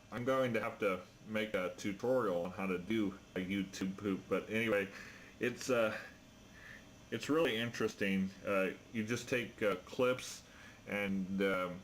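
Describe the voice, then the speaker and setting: Male radio operator, lowish voice, halting informal explainer, two-way radio sound with faint noise. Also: a bit bright.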